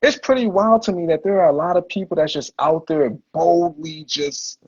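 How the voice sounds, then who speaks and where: Husky-voiced laid-back male podcast host. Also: a little high, normal speed, a little mellow, muffled recording. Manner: laid-back, slangy, heavy on "like" and "you know". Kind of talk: casual livestream interview storytelling.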